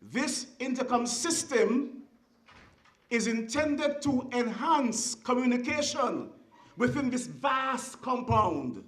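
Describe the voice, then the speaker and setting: A speaker with a Kittitian accent giving a formal ceremonial speech in a deliberate, oratorical way, with emphatic short phrases. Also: mid-range voice, fairly bright tone. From Saint Kitts and Nevis. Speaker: guest speaker at a school ceremony. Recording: echoey venue sound.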